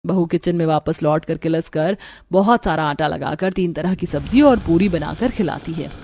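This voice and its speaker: A woman's voice: deep voice, moderate pace, warm tone, dry recording. A woman giving scripted narration for a cartoon family story.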